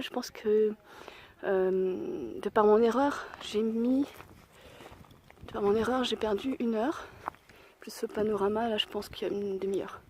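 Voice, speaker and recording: Hoarse young woman vlogging on a hike. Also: fairly high pitch, darkish tone. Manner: chatty and self-deprecating, thinking aloud. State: hoarse voice from a cold; out of breath. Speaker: hiker vlogging a mountain trail. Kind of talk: casual spoken travel vlog. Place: outdoors on a mountain trail.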